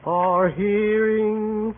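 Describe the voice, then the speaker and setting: A woman playing a young servant, singing a gentle, drawn-out lullaby in an old radio Shakespeare drama. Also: medium pitch, steady tone. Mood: gentle, plaintive. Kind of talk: slow sung Shakespeare song in radio drama. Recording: muffled old radio recording.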